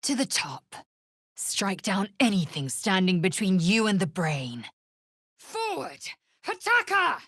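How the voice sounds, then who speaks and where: A woman voicing a fierce, proud alien warrior woman in a video game, scornful and haughty, with clipped, formal phrasing and a husky, breathy voice. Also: medium pitch, very animated, slow, fairly bright tone, dry recording, studio quality, exaggerated voice. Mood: curt, resolute, then battle cry. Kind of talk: video game character voice lines.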